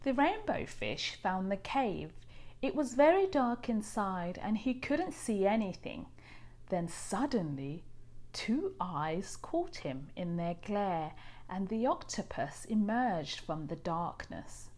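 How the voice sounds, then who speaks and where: Slow, very animated woman storyteller. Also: medium pitch. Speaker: children's storybook reader. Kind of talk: expressive scripted narration for children.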